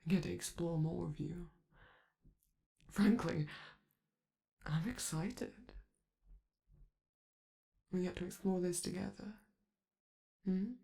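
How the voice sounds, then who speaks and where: A flamboyant male robot celebrity, doting and gently teasing, as a man acts him out. The voice is dark and mellow with a gravelly edge, spoken close and soft like a romantic ASMR audio drama. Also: high-pitched. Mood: tender.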